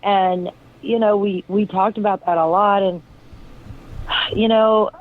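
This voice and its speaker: A hoarse woman with a raspy voice, a guest talking in a rambling, searching way with many fillers. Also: mid-range voice, steady tone, slow. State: hoarse from illness. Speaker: guest, friend of the deceased. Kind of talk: casual interview talk. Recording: tinny phone line.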